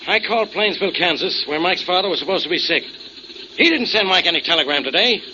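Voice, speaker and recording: An American actor playing an exasperated, easily flustered police inspector in a 1940s radio drama, blustery and demanding. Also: male voice, sits high, lively intonation, brisk. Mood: agitated. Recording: noisy, muffled 1940s radio recording.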